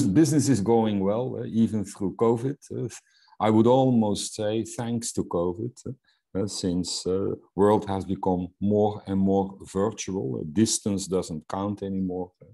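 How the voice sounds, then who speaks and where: Belgian guy, dutch native, low mellow voice, lots of uhs and restarts on a webinar call. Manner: hesitant, many fillers and restarts. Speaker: Salesforce instructor. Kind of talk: webinar presentation.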